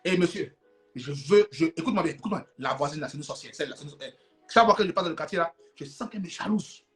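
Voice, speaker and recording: A man's voice: fairly high pitch, fast talker, soft background music, voice pushed down, lightly acted. A man playing a demanding, jealous girlfriend who nags and repeats herself insistently in a sermon skit. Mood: suspicious, complaining. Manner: repetitive, insistent. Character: demanding, jealous girlfriend nagging her sweetheart.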